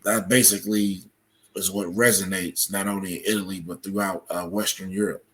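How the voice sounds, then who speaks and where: Male podcast guest, lowish pitch, measured and analytical, explanatory conversational delivery. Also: steady tone. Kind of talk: explanatory interview talk.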